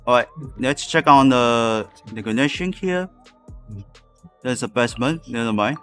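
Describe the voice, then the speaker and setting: Slow, halting game streamer with music. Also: mid-range voice. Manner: halting, fragmented phrases. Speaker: live streamer. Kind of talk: casual game livestream commentary.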